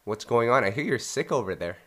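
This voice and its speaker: A man's voice: mid-range voice, animated, fairly quick, fairly bright tone. A man with a nasal, congested voice hosting a casual music podcast. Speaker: podcast host.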